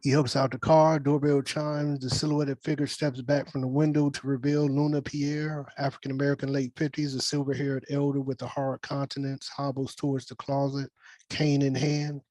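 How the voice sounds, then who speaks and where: A man's voice: mid-range voice, flat delivery, normal speed, darkish tone, no echo, poor audio. A man acting as narrator and director of a script read, reading stage directions aloud.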